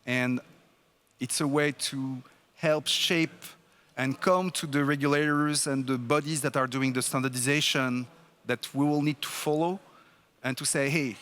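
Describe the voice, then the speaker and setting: A man's voice: mid-range voice, a bit bright. Emphatic man presenting at a conference. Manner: emphatic and encouraging, with fillers. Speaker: conference speaker.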